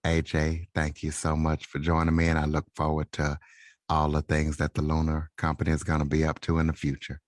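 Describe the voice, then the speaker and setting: A man with an extremely deep voice hosts a podcast interview. His intonation is flat and even as he puts questions to a guest. The recording is dry and close, free of echo. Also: a little fast. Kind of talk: interview hosting.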